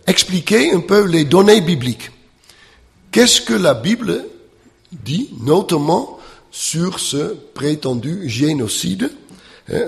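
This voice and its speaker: A non-native man lecturing on scripture, slow and halting, with lots of "euh" and tag questions. His voice is gravelly and swings up and down a good deal. A long reverb trails behind him, as if he were in a big hall. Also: on the high side. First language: not French. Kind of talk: lecture on the Bible.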